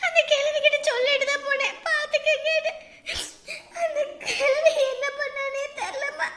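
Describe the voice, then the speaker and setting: A woman's voice: extremely high pitch, a little fast, bright, forceful tone. A woman playing a distraught, aggrieved young mother in a family drama, tearful and complaining in a wailing manner. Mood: frantic, wailing. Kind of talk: melodramatic family drama acting.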